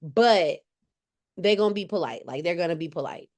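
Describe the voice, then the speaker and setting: A woman's voice: on the low side, animated, normal speed, darkish tone. A woman raised in Cleveland with a light Southern accent, chatting casually on a podcast and leaning on "like" a lot. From Cleveland, Ohio. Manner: chatty, many "like" fillers and restarts. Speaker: podcast guest. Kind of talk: casual conversation.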